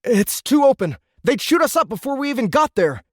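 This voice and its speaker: A man's voice: extremely high pitch, big pitch swings, brisk, full character voice. A man voicing a teenage boy recruit who is anxious and goading in a science fiction audiobook. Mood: wary.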